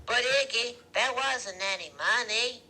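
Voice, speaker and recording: A man's voice: very high voice, measured pace, sharp tone, a little hiss or hum, voice pushed up, full character voice. A man voicing a coarse, pushy woman from a biker crowd in an audiobook story, slangy and drawling. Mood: excited. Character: brassy, squinty woman, biker gangster's coarse companion.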